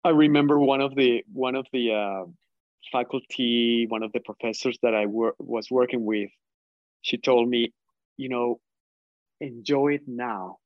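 Colombian professor, dull dry recording. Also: male voice, mid-range voice, unhurried, no echo. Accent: Colombian Spanish. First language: Spanish. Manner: thoughtful, hesitant, many restarts and fillers. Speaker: professor, guest. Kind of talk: conversational interview answers.

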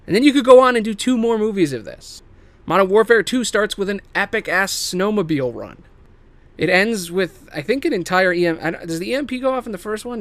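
A man hosting solo in a casual, unscripted ramble, swearing freely and leaning excitedly on his words. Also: high voice. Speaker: host.

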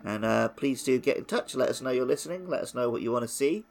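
A man's voice: mid-range voice, expressive, fast talker, vocal fry, soft background music. A man co-hosting a casual game podcast, jokey and self-mocking as he reads the promos. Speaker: podcast co-host.

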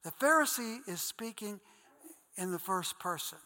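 A man's voice: high voice, lively intonation, measured pace, pushed and bright, big-room sound. A man with an Ohio accent preaching a sermon in an earnest, conversational way, calling his listeners guys and reading Scripture aloud. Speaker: pastor.

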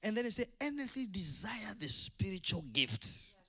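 A man's voice: sits high, big pitch swings, moderate pace. A middle-aged man from Rwanda, preaching an impassioned sermon as a pastor in an emphatic, repetitive way. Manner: emphatic, repetitive, swinging between quiet asides and loud declamation.

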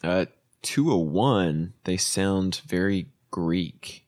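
Over a video call, a man with a very low voice reads Bible passages at a slow, steady pace. Between readings he adds short, understated replies to his co-host. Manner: reads slowly and evenly, brief low responses. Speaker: podcast co-host reading scripture. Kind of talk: scripture reading and casual chat.

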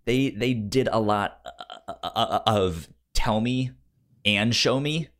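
A man in a casual film debate as a co-host, talking haltingly and often stammering and repeating words. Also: lowish voice, fairly bright tone.

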